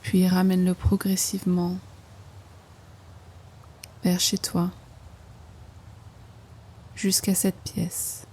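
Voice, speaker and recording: A woman guiding a yoga nidra relaxation in slow instructions, with hushed, breathy phrase endings and an unhurried manner. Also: lowish voice, completely flat delivery. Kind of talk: guided meditation, slow soothing instruction.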